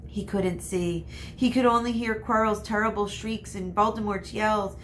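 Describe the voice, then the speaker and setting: A woman reading a children's novel aloud like a teacher, with expressive narration. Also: mid-range voice, moderate pace, noisy background. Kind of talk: expressive scripted story narration.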